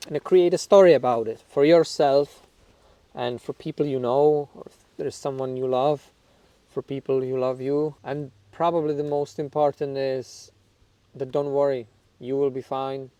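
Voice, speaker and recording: A man with a Czech accent, a native Czech speaker, hosting his own channel and talking frankly and reflectively to camera, with small grammar slips and a few soft laughs. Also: mid-range voice, fairly even intonation, moderate pace, clean tone, no echo. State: somewhat nervous on camera. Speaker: channel host. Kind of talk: candid talk to camera about recovery.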